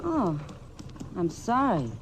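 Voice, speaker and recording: A frontier saloon woman in an old radio western. She is easygoing and folksy, gently teasing, with a middling pitch. The recording is clearly noisy, with horse hooves behind her. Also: sing-song, slow. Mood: gentle, concerned. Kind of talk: 1950s radio western acting.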